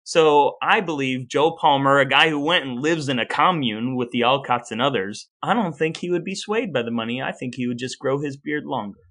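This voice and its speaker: Bright-voiced man chatting on a podcast. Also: medium pitch, brisk, few pauses. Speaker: podcast co-host. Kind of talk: casual chat with some reading aloud.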